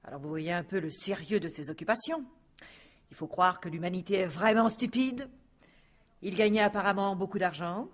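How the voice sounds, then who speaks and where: A woman's voice: mid-range voice, moderate pace, close to an everyday voice. A woman playing a self-important, gossipy painter and neighbour in a radio crime drama, with an emphatic, theatrical delivery. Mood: disdainful. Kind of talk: 1950s-style French radio crime drama acting.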